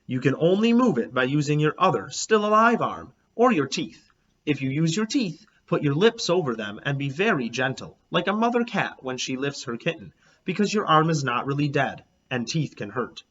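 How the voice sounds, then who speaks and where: A man reading a children's storybook aloud as a teacher, in an expressive, animated, emphatic way. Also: on the high side, normal speed, highs cut off. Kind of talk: expressive storybook read-aloud in a child narrator's first person.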